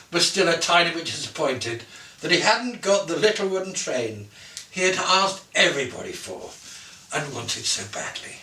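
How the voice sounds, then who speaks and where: Animated, high-pitched man telling a children's story. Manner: theatrical, savours lists, dramatic phrasing with soft endings. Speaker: storyteller reading own Christmas story. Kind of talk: expressive scripted children's story narration. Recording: roomy echo, distant from the mic.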